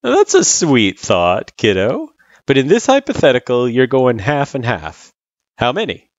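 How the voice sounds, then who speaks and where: A narrator voicing a laid-back, patient male tutor, animated pitch. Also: unhurried, no room sound, muffled recording. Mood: patient, teasing. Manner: casual, slangy, patient.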